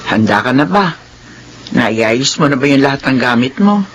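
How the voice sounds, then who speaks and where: A woman's voice: very deep voice, lively intonation, moderate pace, faint music behind. An older woman playing a worried, protective elderly mother in a radio drama, speaking in an earnest, pleading way. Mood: tender. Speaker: mother of the heroine.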